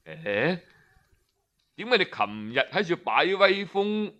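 A man voicing a wise, modest ancient prime minister in Cantonese, calm and composed in a storybook tale. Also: a little high, heavily acted. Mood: calm approval. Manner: calm, measured.

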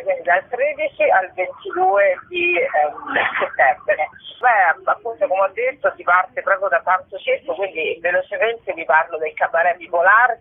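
A woman's voice: medium pitch, breathy edge. A woman giving an interview as an organiser, speaking spontaneously and often searching for words. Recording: muffled lo-fi radio or phone line.

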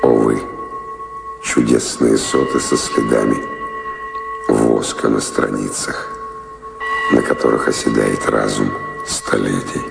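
An actor playing a restless, bold adventurer and dreamer in a theatrical radio drama. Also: male voice, very deep voice, level tone, slow, gravelly, pauses often, heavily acted. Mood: wistful, intense. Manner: dramatic, theatrical delivery with sudden swings. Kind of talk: theatrical audio drama acting. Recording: echoey reverberant studio with loud music behind.

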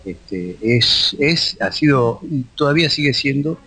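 A man speaking haltingly as an interview guest in casual conversation. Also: medium pitch, expressive, bright, forceful tone, slightly rough. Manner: halting, hesitant phrasing.

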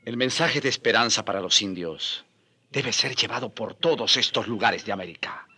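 Earnest friar, bright and husky. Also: male voice, fairly low pitch, moderate pace, full character voice. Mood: solemn resolve. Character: earnest, devout Spanish friar defending the Indians. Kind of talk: radio drama acting.

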